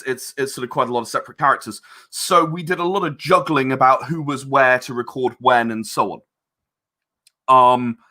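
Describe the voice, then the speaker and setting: Bright-voiced man explaining thoughtfully. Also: mid-range voice. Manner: halting, thoughtful, repeats words as he explains. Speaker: interview guest, audio drama director and voice actor. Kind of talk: casual explanatory interview talk.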